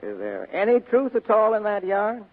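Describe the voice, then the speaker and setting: A man's voice: high-pitched, huge pitch swings, normal speed, heavily acted. A man playing a tough, cynical American private detective in a 1940s radio drama, blunt, sardonic and commanding. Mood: mocking, skeptical. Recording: tinny muffled 1940s radio broadcast.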